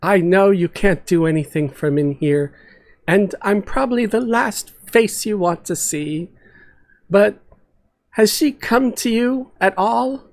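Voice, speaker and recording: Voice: medium pitch, lively intonation, slow, pitched above the performer's own voice. A man voicing an anxious, polite woman in a fantasy story, apologetic and beseeching. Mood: worried, pleading.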